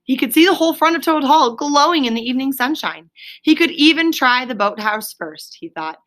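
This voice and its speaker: Female storyteller, bright and forceful, expressive, explanatory, reading aloud for a young audience. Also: sits high, brisk. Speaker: reader, storyteller. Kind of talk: reading a children's story aloud, with asides to a listener.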